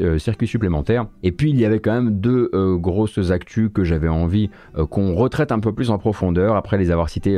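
A man's voice: sits low, warm tone, no echo. A man hosting a morning video game news show, commenting casually with many fillers, self-corrections and wry asides.

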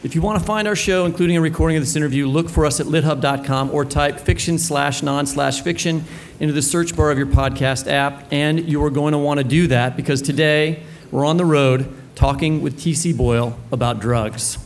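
Male podcast co-host, slightly high voice, interviewing live on stage. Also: steady tone, a little fast, a little hiss or hum. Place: live book fair stage.

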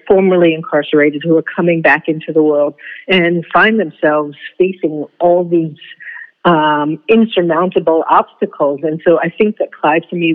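A woman playwright giving a thoughtful, articulate, reflective interview. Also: sits low. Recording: thin phone-like line.

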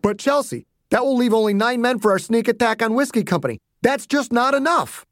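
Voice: mid-range voice, animated, brisk, no room sound, studio quality, voice pushed up, close to an everyday voice. A narrator voicing a cautious male army general, a reluctant subordinate who reasons and objects deferentially in an audiobook story. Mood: worried, objecting.

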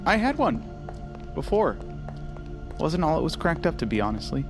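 A man playing an awkward, earnest archive researcher in a naturalistic audio drama, halting, apologetic and bookish. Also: a little high, sing-song, over music. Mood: flustered, apologetic.

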